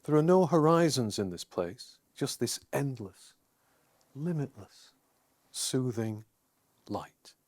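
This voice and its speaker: Male meditation guide: unhurried, soothing, deliberate pauses, dry close sound. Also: medium pitch, animated, darkish tone, dry recording.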